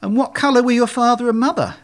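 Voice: mid-range voice, quick pace, voice pushed up, natural voice. A performer voicing a male swan leader in a storybook, explaining things emphatically with well-meaning but strained patience. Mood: exasperated.

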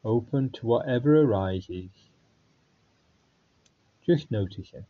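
Slow-speaking man guiding meditation. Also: mid-range voice, muffled recording. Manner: calm, unhurried, with long pauses. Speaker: meditation guide. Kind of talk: guided body scan meditation.

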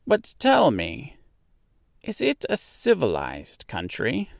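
Man voicing a slow, lilting little old good witch. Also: medium pitch, huge pitch swings, creaky, dry recording, clean studio sound, voice pushed up, heavily acted. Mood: patient, assured. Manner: deliberate, stretched-out, explanatory phrasing. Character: kindly little old woman, a good witch, calm and assured. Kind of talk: storybook character voice.

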